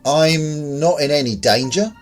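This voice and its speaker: A man's voice: a little high, measured pace, soft background music, close to an everyday voice. A man voicing a resurrected lone male survivor in a story, wry, wary and conversational.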